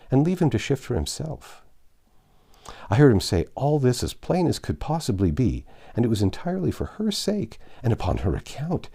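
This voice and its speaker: A man voicing a gossipy, excitable, vulgar young Regency woman in a storybook reading, chattering on in run-on sentences. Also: lowish voice, breathy edge, heavily acted.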